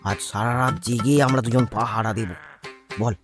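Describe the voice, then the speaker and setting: Man playing a gruff village plotter, middle pitch, threatening, cartoon delivery, background music. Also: fairly even intonation, moderate pace, close to an everyday voice. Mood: plotting. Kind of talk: cartoon dialogue.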